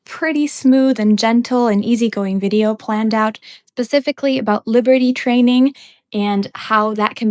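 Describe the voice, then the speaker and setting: A young woman hosting her podcast, ranting bluntly and without a script, her voice a little off from a cold. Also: fairly high pitch. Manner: emphatic and blunt, with occasional laughs. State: slightly congested from a cold. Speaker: podcast host. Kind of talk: unscripted ranting commentary.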